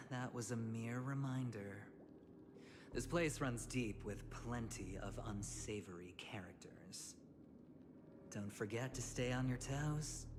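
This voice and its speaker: A man's voice: mid-range voice, in a large hall, background noise, natural voice. A man voicing a sly, theatrical gambler in a video game, smugly menacing with a teasing drawl. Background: game music.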